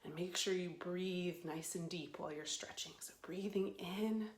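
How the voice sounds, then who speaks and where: A woman hosting storytime for young children, speaking in a playful, expressive, simple way as she guides and reads aloud. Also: fairly low pitch, normal speed, reverberant, a little hiss or hum.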